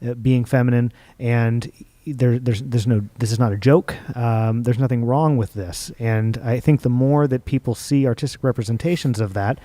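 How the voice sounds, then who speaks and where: Mellow-voiced male interviewer who restarts words. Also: mid-range voice, fairly even intonation, normal speed, no room sound. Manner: probing, restarts words, stutters on openings. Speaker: interview host. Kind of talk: onstage interview. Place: theater stage.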